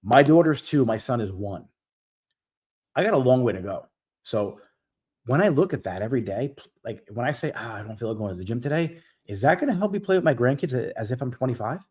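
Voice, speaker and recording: Man in his forties, host of a coaching live Q&A, direct and emphatic, mid-pitched. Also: lively intonation, fairly quick, a little mellow, slightly rough. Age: 40s. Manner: direct, emphatic, repeats key points.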